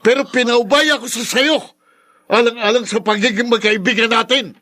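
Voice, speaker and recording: A man's voice: extremely high pitch, fairly even intonation, normal speed, pushed and bright. An actor playing an earnest, reproachful young man in love, with an intense radio drama delivery. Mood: anguished anger. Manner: pleading, intense, melodramatic. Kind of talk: Filipino radio drama acting. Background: music in the background.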